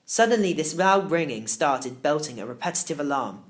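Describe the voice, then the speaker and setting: A man narrating a children's novel in the first person, expressive and theatrical. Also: fairly high pitch, moderate pace. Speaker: audiobook narrator.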